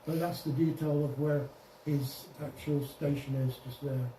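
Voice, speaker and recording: A man giving an informal software demonstration to a group, explaining step by step with some hesitation and self-correction. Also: fairly high pitch, steady tone, measured pace. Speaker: presenter at an amateur radio club. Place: indoor club meeting room. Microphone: distant room microphone. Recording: echoey room, distant mic, faint noise.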